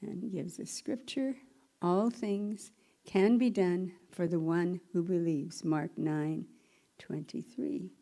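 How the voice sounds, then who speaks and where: A woman slowly reading a daily devotional at a church service. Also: on the low side, steady tone, vocal fry, warm tone. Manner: slow, measured reading. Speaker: service reader. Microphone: microphone at a lectern.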